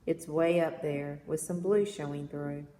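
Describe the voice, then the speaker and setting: A woman reading children's poems aloud in an expressive storytelling manner, recorded in an echoey room. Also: deep voice, unhurried. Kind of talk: read-aloud of children's verse novel. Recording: echoey reverberant room with background noise.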